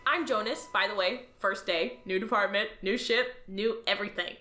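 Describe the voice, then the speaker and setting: A woman's voice: mid-range voice, moderate pace, pushed and bright, natural voice. A woman voicing a cheerful, naive male alien on his first day at work in an audio drama. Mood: eager. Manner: cheerful, upbeat, earnest. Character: eager naive male alien new hire. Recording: roomy echo, faint music.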